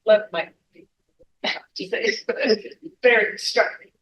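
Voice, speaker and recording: A woman at an educators' workshop introduces herself in a thoughtful, measured way. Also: medium pitch, fairly bright tone, dull audio. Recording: voice picked up from across a room.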